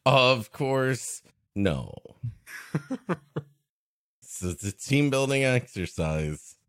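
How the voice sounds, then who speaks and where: A man's voice: mid-range voice, sing-song, very slow, fairly bright tone, dry recording, clean studio sound. A man co-hosting a comedy podcast, improvising jokey, mock-serious asides in casual chat.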